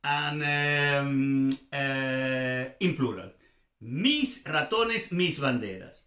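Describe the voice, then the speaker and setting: Animated Venezuelan man teaching in echoey room. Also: medium pitch, extremely slow. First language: Spanish. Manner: didactic, repeats examples, checks understanding with questions. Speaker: language teacher and host. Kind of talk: informal Spanish grammar lesson.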